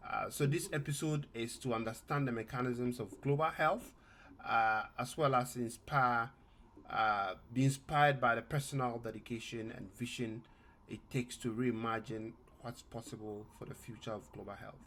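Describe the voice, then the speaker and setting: A man hosting a podcast, reading a prepared introduction and questions slowly and deliberately, with frequent fillers. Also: mid-range voice, fairly even intonation, fairly bright tone, slight background noise. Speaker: podcast host.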